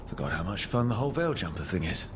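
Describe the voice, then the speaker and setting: A man's voice: lowish voice, sing-song, fast talker, noisy background. An actor voicing an easygoing young male adventurer hero in a fantasy video game, with a casual, dryly humorous delivery. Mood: casual, wry. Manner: casual, dry humour.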